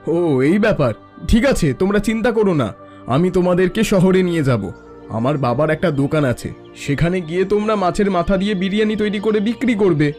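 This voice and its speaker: A man's voice: high voice, a little fast, darkish tone, soft background music, close to an everyday voice. A man voicing a friendly, confident young visitor from the city in a cartoon. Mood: friendly, generous. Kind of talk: Bengali cartoon voice acting.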